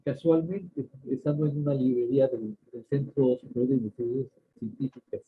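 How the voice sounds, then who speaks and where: An older man with a Mexican accent, a doctor answering interview questions in a measured way, pausing often and restarting his sentences. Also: mid-range voice, fairly even intonation, breathy edge. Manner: measured, many hesitations and restarts. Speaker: ophthalmologist guest. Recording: tinny phone-like line.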